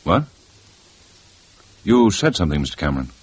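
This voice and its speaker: Male detective, deep, clipped, insistent, for vintage radio. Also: very animated, moderate pace, darkish tone, husky, full character voice. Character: male police detective, brisk and probing. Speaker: police detective. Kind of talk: 1950s radio drama acting.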